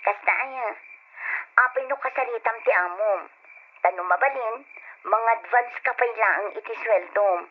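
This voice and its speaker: A demanding, coaxing radio drama wife, speaking in a fairly high voice. She comes through a thin telephone line with faint hiss. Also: unhurried. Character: demanding wife smoothly asking her husband for money. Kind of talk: old-style radio drama acting.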